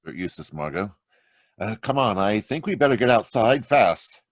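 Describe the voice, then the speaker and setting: A man acting a calm, resolute amateur sleuth in an old-time radio mystery. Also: fairly low pitch, normal speed, no echo, studio quality. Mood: grave, resolute. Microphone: video-call microphone.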